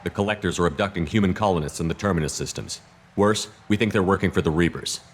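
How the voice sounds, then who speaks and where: Male actor as stoic military hero, very deep voice, quick delivery, terse, direct, sci-fi game dialogue. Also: slight background noise, lightly acted. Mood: serious. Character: stoic, determined male space commander hero.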